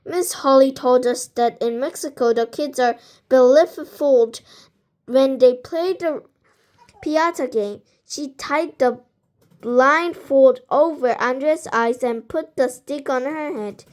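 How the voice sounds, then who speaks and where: A woman reading a children's storybook aloud in a halting way, stumbling over some words. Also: high voice, darkish tone. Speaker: amateur book reader.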